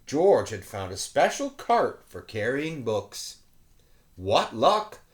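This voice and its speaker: Unhurried, lively man reading to children. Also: mid-range voice. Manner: animated, playful asides to young listeners. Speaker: children's story reader, teacher. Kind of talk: expressive children's picture book read-aloud.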